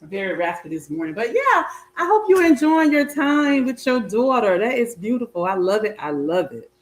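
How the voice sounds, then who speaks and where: A woman's voice: fairly high pitch, very animated, normal speed. Chatty woman in an echoey kitchen. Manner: chatty, warm greetings and blessings to viewers. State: slightly raspy, recovering voice. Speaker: health coach and vlogger. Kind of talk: casual cooking vlog chat. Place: home kitchen.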